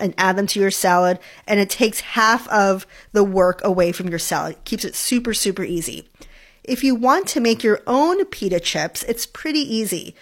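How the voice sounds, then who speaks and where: A young adult woman from New York hosts a food podcast in a relaxed, friendly way. She talks with enthusiasm about food, in a chatty, conversational manner. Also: medium pitch. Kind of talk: casual solo podcast talk.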